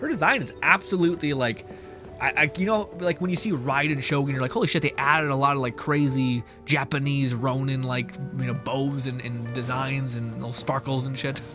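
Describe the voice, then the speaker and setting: A young man streaming a video game, giving rambling, excitable commentary full of fillers, swearing and chat replies. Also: medium pitch, a little fast, music in the background. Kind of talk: casual gaming stream commentary.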